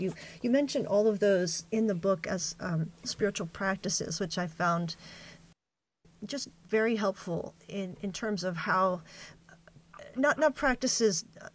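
A woman hosting an interview in a reflective, measured way, pausing between phrases. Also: lowish voice. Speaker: interview host.

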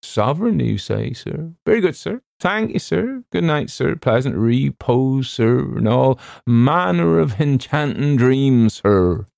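A man's voice: medium pitch, lively intonation, a little slow, vocal fry, few pauses, darkish tone, no room sound, studio quality, pitched above the performer's own voice. A man voicing a nervous, servile ship's steward, insistent and colloquial, in a storybook reading. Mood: obsequious. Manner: faltering, deferential, colloquial.

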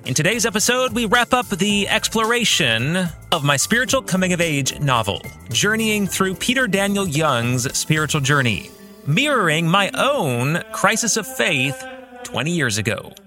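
A man in his forties hosting a podcast in a scripted monologue, with a deliberate, emphatic presenter's delivery. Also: high voice, very animated, bright, forceful tone, soft background music. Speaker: podcast host and author.

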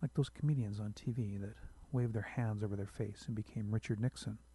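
A man narrates literary fiction in a lowish, very dark and relaxed voice. It is a little husky, recorded close and dry. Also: fairly quick. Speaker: author reading his short story.

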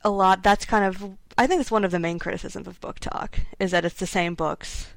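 Creaky-voiced woman in casual conversation. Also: mid-range voice, steady tone, brisk, dry recording. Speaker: podcast co-host. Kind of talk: casual book discussion.

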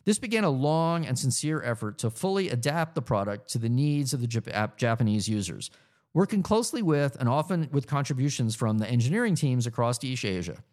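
A man narrates his own memoir, reading at a measured pace with a lively, rising-and-falling pitch. Now and then he trips on a word and starts it again. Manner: measured reading with occasional stumbles and restarts. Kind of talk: audiobook narration.

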